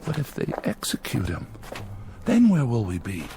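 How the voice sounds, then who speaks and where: A man's voice: mid-range voice, wildly swooping pitch, moderate pace, vocal fry. An actor playing a worried, earnest male settler in a radio drama, urgent and distrustful of authority. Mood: anxious, urgent.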